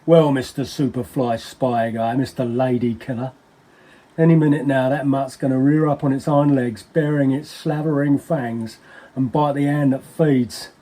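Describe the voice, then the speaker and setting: A man acts out an agitated, paranoid henchman with an English accent. His voice is husky and mid-pitched. He delivers a theatrical, slangy monologue, piling up words and repeating himself as he rants. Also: steady tone, moderate pace, dark tone, close to an everyday voice. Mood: agitated, scornful. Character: agitated paranoid henchman ranting about a superspy, colourful slangy storyteller. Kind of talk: dramatic monologue.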